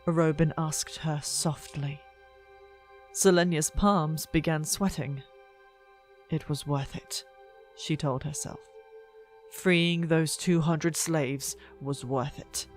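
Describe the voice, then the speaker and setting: Audiobook narrator, mid-pitched, very unhurried, suspenseful and expressive, faint music underneath. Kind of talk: dramatic scripted fiction narration. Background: soft background music.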